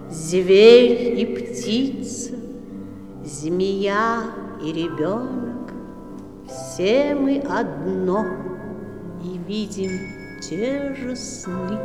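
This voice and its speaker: Slow, solemn wise female cobra. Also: mid-range voice, expressive. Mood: hushed, incantatory. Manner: measured, incantatory, solemn. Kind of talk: 1960s audio play acting. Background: instrumental music.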